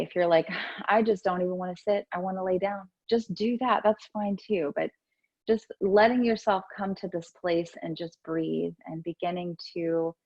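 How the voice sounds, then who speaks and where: A woman guides a gentle yoga and breathing practice in a fairly low voice with a lot of creak. Her delivery is soothing and unhurried, leaving space between instructions. The recording is a little dull and lo-fi. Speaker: yoga and meditation teacher.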